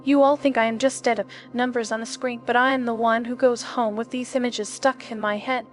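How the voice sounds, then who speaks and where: A woman's voice: a little high, fairly even intonation, fairly quick, close to an everyday voice. A woman playing a vulnerable, wounded young test subject in an audio story. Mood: hurt. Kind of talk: scripted audio story dialogue. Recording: music playing behind the voice.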